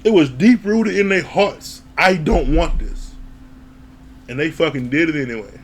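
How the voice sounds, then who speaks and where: A man with a slightly higher voice gives a loose, animated spoken recap of a reality show. He talks in an offhand way, swears and laughs, and acts out what the people on the show said. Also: a little slow, a little hiss or hum. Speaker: reality TV reviewer. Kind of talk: casual recap commentary.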